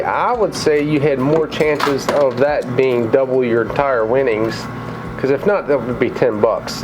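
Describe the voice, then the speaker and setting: A man with a cold, a little raspy and tired, chatting casually through a coin pusher vlog in an arcade. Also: mid-range voice, background noise. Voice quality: slightly mumbled from a lozenge. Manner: chatty, excitable at wins. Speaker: vlogger.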